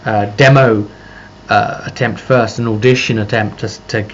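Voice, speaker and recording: A man giving a casual, rambling recap as a game master, halting and circling back over his phrases. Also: medium pitch. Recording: muffled lo-fi audio with background noise.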